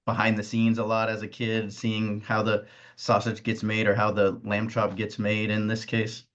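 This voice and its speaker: A man's voice: on the low side, completely flat delivery, normal speed, fairly bright tone. A man hosting a casual interview with hesitant phrasing, on slightly muffled audio. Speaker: interviewer, host.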